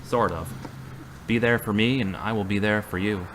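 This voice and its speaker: Guy acting earnest churchy middle-aged man, measured, lowish voice. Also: background noise, close to an everyday voice. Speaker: radio drama actor. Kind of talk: amateur radio drama.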